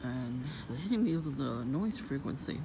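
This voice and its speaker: A woman with a low voice, vlogging in a soft-spoken, rambling and suspicious way outdoors near her home. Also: expressive, moderate pace. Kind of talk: casual rambling monologue. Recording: noisy outdoor ambience.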